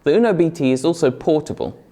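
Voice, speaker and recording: A young man presenting a scripted product review in a clear, even, friendly manner. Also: on the high side, quick pace.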